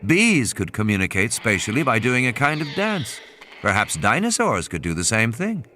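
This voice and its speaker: Guy narrating a dramatic audiobook, lively pitch, bright forceful voice, noise behind him. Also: normal speed.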